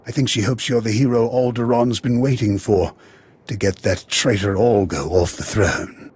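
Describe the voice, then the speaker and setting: An actor playing a pragmatic, principled military officer in a video game, measured and earnest. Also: male voice, lowish voice, steady tone, darkish tone, slightly rough, dry recording. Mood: earnest, businesslike.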